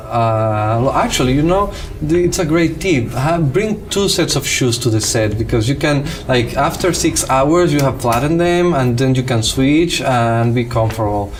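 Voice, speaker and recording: A man's voice: medium pitch, expressive, unhurried, soft background music. A man from Cuba with a Cuban accent and Spanish as his first language, a film director talking casually and animatedly in an interview.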